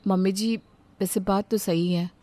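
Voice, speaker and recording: A woman voicing a calm, reasonable young daughter-in-law who speaks gently to her mother-in-law. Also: medium pitch, normal speed, close to an everyday voice.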